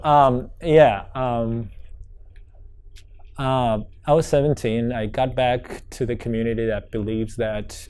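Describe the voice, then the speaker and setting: A man's voice: mid-range voice, darkish tone. A young man from Georgia with an American accent, his first language Georgian, telling his life story in an oral history interview, reflective and full of restarts. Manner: reflective, many restarts and fillers.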